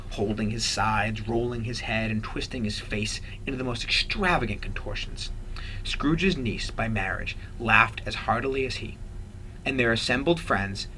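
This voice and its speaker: A man with a mid-range voice reads aloud as a teacher would. His storybook narration is expressive. The recording carries some background noise. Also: medium pitch, poor audio.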